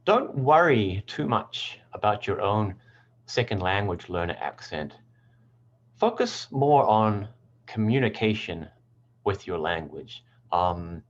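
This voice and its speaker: A low-voiced man from New Zealand, his accent mixed with American and British, teaches English pronunciation in a relaxed, explanatory way. His pitch moves a lot as he talks. The audio is a little dull and lo-fi. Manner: explanatory, relaxed, with frequent ums. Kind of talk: online language lesson.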